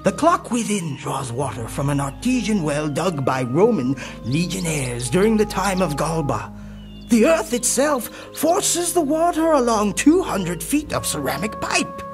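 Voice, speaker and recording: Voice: medium pitch, very animated, unhurried. An actor playing a grave, proud guide to an ancient tower in an audio comic drama. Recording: music clearly underneath.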